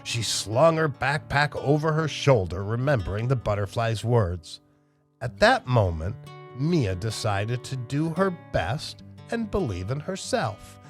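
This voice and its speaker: Slow, highly expressive man reading to children. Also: soft background music. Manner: animated, emphatic, addressing young listeners. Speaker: host and reader of a children's storytime show. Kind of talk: expressive children's read-aloud narration.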